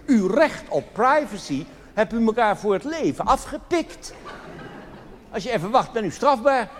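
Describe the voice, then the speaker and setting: Reverberant hall, male cabaret comedian, high-pitched voice, theatrical and mock-solemn delivery, live monologue. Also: sing-song, normal speed, slight background noise. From Netherlands. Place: theatre stage in a large hall.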